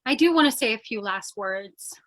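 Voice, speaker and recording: A woman plays a plucky radio-drama reporter with a very high, bright voice. She chatters theatrically and sounds naive and scatterbrained, her pitch leaping all over as she talks her way through danger. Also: lively intonation, normal speed. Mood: mock remorseful. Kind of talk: old-time radio screwball drama acting.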